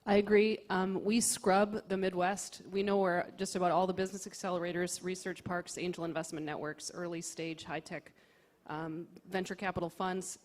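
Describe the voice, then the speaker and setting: A woman's voice: lowish voice, little pitch movement, normal speed. A woman on an investing panel, speaking emphatically as she lists items. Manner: emphatic, listing. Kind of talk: panel discussion.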